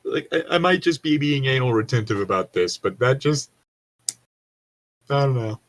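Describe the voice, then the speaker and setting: A man co-hosting a podcast, reading aloud with casual, joking commentary in a rambling, nitpicking way as he thinks out loud. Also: medium pitch, animated, moderate pace. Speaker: podcast co-host.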